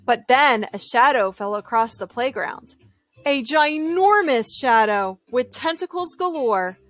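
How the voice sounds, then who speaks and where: A woman reading a children's story aloud in an expressive, deliberate storytelling style. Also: high voice, no room sound. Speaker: storybook reader.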